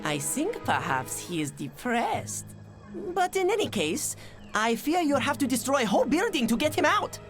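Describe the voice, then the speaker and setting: A bitter, melodramatic scientist in a game cutscene. The voice is high and very animated, with formal phrasing. Music is clearly heard behind it. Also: female voice, moderate pace. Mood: sly, formal. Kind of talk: video game cutscene acting.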